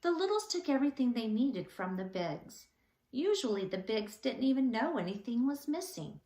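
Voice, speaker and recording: A woman teacher reading a children's story aloud in an animated, deliberate storytelling manner. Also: fairly high pitch, echoey room.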